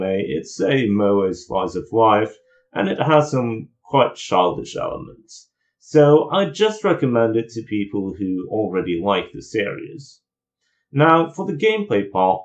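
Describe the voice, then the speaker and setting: A man giving a spoken review commentary, hesitant, with frequent filler pauses, on a dull lo-fi recording. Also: fairly low pitch. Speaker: video game reviewer.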